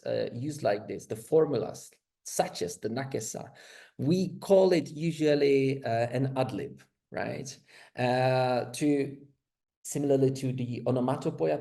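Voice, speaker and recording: A man whose first language is Czech, giving an academic talk in slow, hesitant speech full of fillers and restarts. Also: mid-range voice, creaky, warm tone. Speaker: lecturer.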